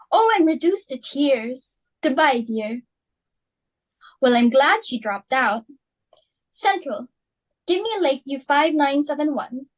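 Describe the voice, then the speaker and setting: A high, swooping voice of a young woman acting as a fussy society hostess who frets over her dinner party. She talks in a flustered, theatrical way, her pitch leaping up and down as she fusses. It sounds like a stage reading. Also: poor audio. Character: fussy, status-hungry society hostess and mother. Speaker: student actor. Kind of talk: amateur dramatic reading.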